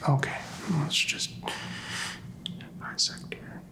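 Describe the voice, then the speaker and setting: A man speaking in a soft, breathy whisper, playing a doctor in an ASMR medical exam, gentle, halting and reassuring. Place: small doctor's office.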